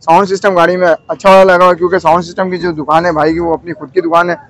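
A man's voice: high voice, level tone, racing, fairly bright tone. A man with a Pakistani Urdu accent interviews a seller at an outdoor car market, politely repeating each answer back to the camera. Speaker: vlogger touring a car market. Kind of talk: walkaround car review interview.

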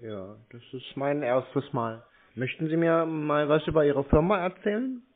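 Deadpan man on a phone line. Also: on the high side, normal speed. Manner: terse, deadpan. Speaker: prank responder to scam calls. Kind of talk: phone conversation. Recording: muffled tinny phone line.

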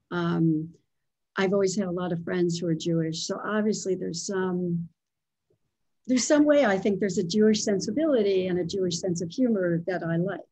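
Female guest, sixties, Pennsylvania-raised, low husky voice, thoughtful, webcam from home. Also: dark tone. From small town in Pennsylvania. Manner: reflective, thinking aloud. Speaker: guest, writer and former editor. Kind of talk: conversational video-call interview. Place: home living room with bookshelves. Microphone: video call.

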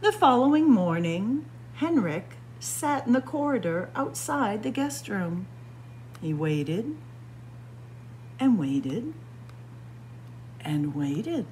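A woman reading a children's picture book aloud with deliberate, expressive storytelling. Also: medium pitch, low-quality recording. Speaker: storytime reader. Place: indoors, room with library bookshelves. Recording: faint background noise.

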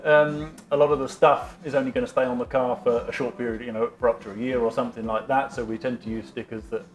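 A man's voice: medium pitch, level tone, quick pace. A man guiding a tour of his team's factory, explaining things in a plain, matter-of-fact way with frequent ums. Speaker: team staff member giving a tour. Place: large workshop indoors. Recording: roomy workshop echo.

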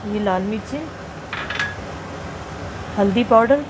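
A woman hosting a home cooking tutorial, chatting through each step and reading out ingredient amounts slowly, often repeating them. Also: medium pitch, background noise. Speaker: cooking channel host. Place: home kitchen.

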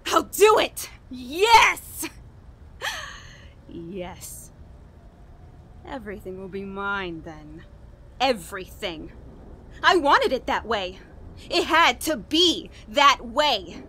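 Bright, high-voiced unhinged murderess. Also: female voice, sing-song, heavily acted. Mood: feverish, confessing. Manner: dramatic, halting, broken by manic laughter. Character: unhinged guilt-ridden murderer confessing before suicide. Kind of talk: old-time radio horror drama monologue.

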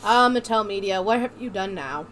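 A woman's voice: medium pitch, expressive, normal speed, fairly bright tone, faint music behind. A woman giving wry, impatient, casual commentary while streaming a game.

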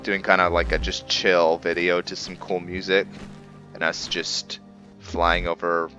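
A man with a low, bright voice commentates a roleplay video game. He talks slowly and muses with frequent pauses. Game music plays faintly behind him. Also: level tone, lo-fi sound. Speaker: gameplay video host. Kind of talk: roleplay game commentary with some reading aloud.

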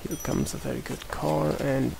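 A man's voice: mid-range voice, little pitch movement, moderate pace, gravelly, very noisy. A young man from Portugal with a Portuguese accent, casually commenting on a game while he plays, mumbling to himself. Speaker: gameplay commentator.

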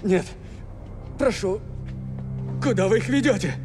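An actor voicing a worried, protective young brother in a dubbed video game. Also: male voice, high voice, unhurried. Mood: pleading. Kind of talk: dramatic video game dub acting. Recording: music behind the dialogue.

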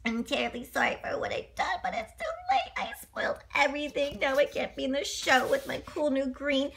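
A woman voicing a curious, excitable little boy monkey in a storybook, childlike and chattering with monkey noises. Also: sits high, fairly quick, creaky, voice pushed up. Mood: sorry. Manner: squeals and chatters with monkey hoots.

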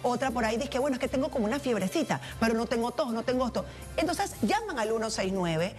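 A middle-aged woman hosts a morning TV show in a slightly high voice. She asks questions in a chatty way, with lots of restarts. Light music plays under her. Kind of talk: casual TV talk. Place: TV studio.

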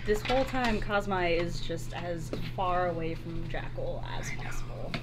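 A woman's voice: fairly low pitch, a little slow, loud music behind the voice. A woman chatting casually as a player in a tabletop roleplaying game. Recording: noisy room recording.

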